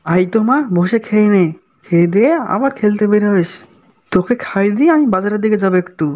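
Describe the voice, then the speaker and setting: A woman plays a caring village father for a cartoon fairy tale. The voice is medium in pitch and speaks quickly, on a somewhat dull recording. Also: close to an everyday voice. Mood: caring, coaxing. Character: kindly village father, caring toward his daughter. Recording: dull lo-fi sound.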